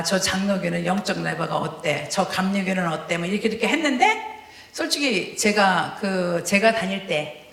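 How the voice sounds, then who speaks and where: A woman from Korea with a Chungcheong accent, giving a lively testimony sermon as a guest preacher, full of fillers and laughs. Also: medium pitch, gravelly, echoey room. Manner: animated, laughing, many fillers. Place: church sanctuary.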